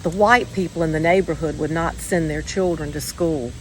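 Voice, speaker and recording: Lady reading a kids story out loud, slightly low voice, takes her pauses, expressive, bit of noise in the background. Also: low-quality recording. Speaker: storybook reader. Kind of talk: scripted children's story reading.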